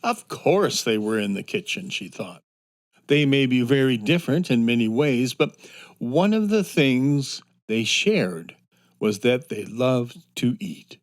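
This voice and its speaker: A man narrating a children's story from a script in a measured, expressive storytelling manner. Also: medium pitch. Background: soft music.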